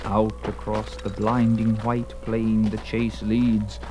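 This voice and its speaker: A man's voice: on the low side, monotone, slow pace, background noise. A man narrating a dramatized story in an intense, theatrical and portentous manner. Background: prominent music.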